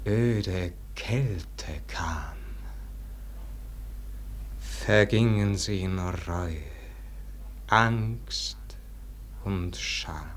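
An actor playing a weary, brooding scholar full of regret and longing, declaiming a verse monologue in a classic radio play. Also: male voice, on the low side, a little hiss or hum. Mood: hushed reverence. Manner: declamatory, swinging from hushed murmur to ringing lament.

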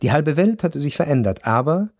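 An actor playing a reflective narrator who recalls his family's decline, in formal, measured literary narration for radio. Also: a little high, very animated, darkish tone, no echo, clean studio sound. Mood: sober, measured.

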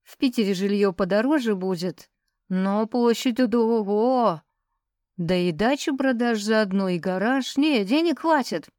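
A blunt, hearty man of practical temper, played by a woman narrator in an audiobook. He talks in a brisk, colloquial, matter-of-fact way, recorded dry. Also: medium pitch, darkish tone, no room sound, close to an everyday voice. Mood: satisfied, practical.

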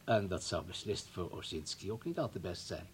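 Male party official, radio play: husky, mock-courteous, quietly menacing, insinuating. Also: low-pitched, expressive, normal speed, full character voice. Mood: veiled threat. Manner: hesitant mock politeness, veiled threats.

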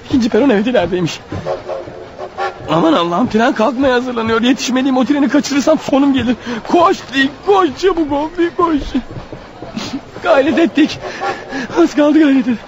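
Radio play lead: wounded runaway, very high strained pitch, breathless urgent muttering, dull-sounding recording. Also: male voice, normal speed, a crowd in the background. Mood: panicked, straining. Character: desperate wounded fugitive man, exhausted, racing to his wife. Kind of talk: radio theatre acting, inner monologue. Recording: muffled radio drama recording. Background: music underneath.

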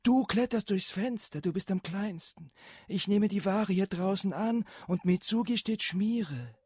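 A woman's voice: medium pitch, animated, unhurried, mellow, slightly rough, no echo, clean studio sound. A woman playing a bossy, streetwise young gang leader in a German radio drama, conspiratorial and commanding. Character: bossy, streetwise older kid leading petty thieves. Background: faint music.

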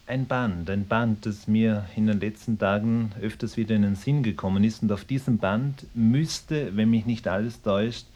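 A man acting an evasive, anxious small-town history teacher in a radio mock documentary, speaking haltingly and repeating himself. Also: fairly low pitch, dark tone, lightly acted. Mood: puzzled, hesitant.

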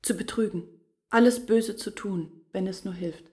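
An actress playing a guarded, earnest village woman in a literary radio play, measured and intimate. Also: mid-range voice, darkish tone, natural voice. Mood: grave, confiding.

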